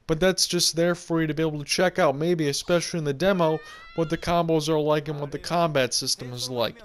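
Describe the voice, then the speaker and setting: High-voiced man rambling over gameplay. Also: clean tone. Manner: rambling, conversational explaining. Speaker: game video creator. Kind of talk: casual gameplay commentary. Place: home room, indoors.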